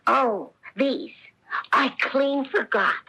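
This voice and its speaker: A woman's voice: sits high, very slow, sharp tone, slightly rough, exaggerated voice. A woman playing a naive, fibbing puppet boy in a 1970s radio play, exclamatory and melodramatic. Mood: fibbing innocence. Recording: 1970s radio broadcast recording.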